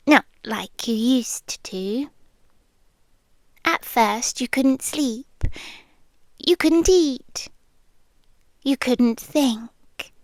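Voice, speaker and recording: A woman's voice: high voice, dry recording, exaggerated voice. A British woman playing a lonely, obsessive, affectionate stalker girl in an intimate ASMR roleplay, speaking tenderly and breathily, slipping into whispers. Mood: hushed, hurt, then teasing, wistful. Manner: slow, breathy, tender, with pauses and sighs. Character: lonely obsessive bodiless young female stalker, possessively affectionate. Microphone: close microphone.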